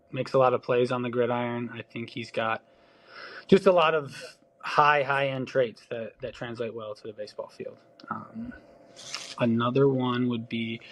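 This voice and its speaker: A man with a mild Wisconsin accent, talking casually as a baseball scout on a podcast. His delivery is loose and meandering, full of ums and false starts as he runs through players. He sits at an ordinary middle pitch. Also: low-quality recording. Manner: loose, filler-heavy talk with frequent restarts.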